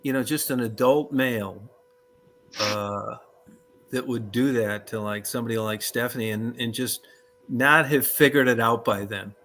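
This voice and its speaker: A man's voice: mid-range voice, moderate pace, fairly bright tone. A man hosting a casual talk show, rambling with fillers and crude jokes. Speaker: show host.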